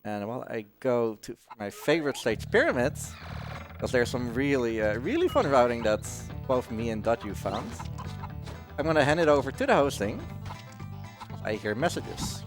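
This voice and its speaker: Male speedrunner, non-native English, casual wry commentary, animated pitch, game music behind. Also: moderate pace. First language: not English. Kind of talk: casual live gameplay commentary. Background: video game music.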